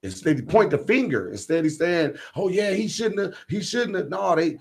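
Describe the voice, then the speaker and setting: A middle-aged man with a husky voice talking earnestly and emphatically as a radio interview guest, often checking that he is understood. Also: high-pitched, lively intonation, moderate pace. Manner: emphatic, often seeking agreement with repeated check-in phrases. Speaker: guest, stage playwright. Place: radio talk show.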